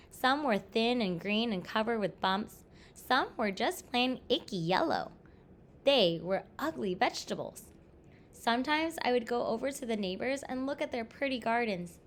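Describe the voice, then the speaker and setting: Woman, fairly high voice, lively storybook read-aloud, deliberate stressed phrasing, slight background noise. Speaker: storybook reader. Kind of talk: expressive read-aloud of a children's picture book.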